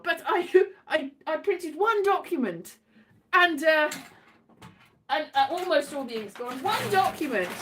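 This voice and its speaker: British woman, high voice, highly varied intonation, theatrical, rambling casual livestream chat. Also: background noise. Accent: British English. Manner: whimsical, theatrical, meandering. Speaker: livestream host, voice actor.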